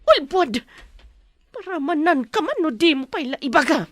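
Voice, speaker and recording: A menacing female captor in a radio drama. Her voice is high and swings widely as she gives violent, commanding threats. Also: sing-song, unhurried, slight background noise. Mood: angry. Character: threatening, violent woman interrogating a frightened captive at knifepoint.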